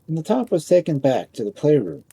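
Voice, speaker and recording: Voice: mid-range voice, wildly swooping pitch, fairly quick, warm tone, breathy edge. A storyteller reading a scripted fairy tale as narration.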